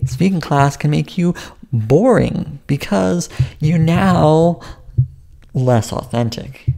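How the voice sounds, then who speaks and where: Calm low-voiced man with gravelly fry. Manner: rambling and self-interrupting, with restarts. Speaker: YouTube creator giving advice. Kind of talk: casual advice vlog to camera.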